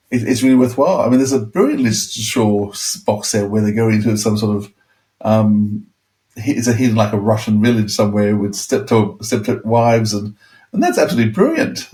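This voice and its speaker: A man chatting casually as a podcast panellist, rambling and halting as he repeats words. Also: medium pitch, a little mellow, distant mic. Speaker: podcast panellist discussing audio dramas. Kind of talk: casual chat.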